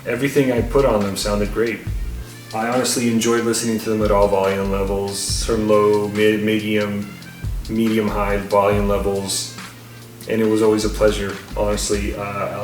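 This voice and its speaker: A man giving a casual, unscripted gear review as channel host, halting and rambling, often repeating his words. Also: fairly low pitch, little pitch movement. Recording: roomy echo, distant mic, faint music.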